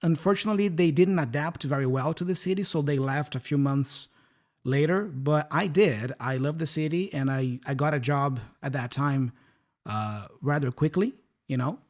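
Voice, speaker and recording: A man with a Brazilian Portuguese accent co-hosting an English-learning podcast, his voice sitting a little higher than most men's. He talks in a friendly, relaxed way and often tags on a quick "right?" to check in. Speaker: English teacher and podcast co-host. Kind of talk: casual teaching chat.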